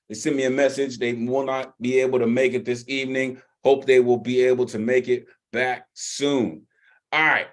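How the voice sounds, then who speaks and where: Laid-back man hosting a video call. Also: mid-range voice. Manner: hype, shout-outs, fillers and restarts. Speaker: open mic host.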